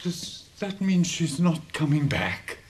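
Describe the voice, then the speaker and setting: An elderly, idealistic headmaster in a British radio drama. He is mournful and oratorical, an earnest man in despair, his voice pitched a little high. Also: very animated, takes it slowly. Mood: dejected. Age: older. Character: despairing, idealistic old headmaster near retirement.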